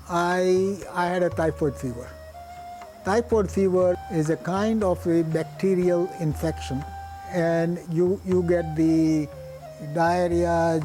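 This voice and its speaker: An older man from Gujarat in India, a native Gujarati speaker with a Gujarati Indian accent, recalling his life in plain, halting speech with pauses and restarts. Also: high voice, a little mellow. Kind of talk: oral history reminiscence.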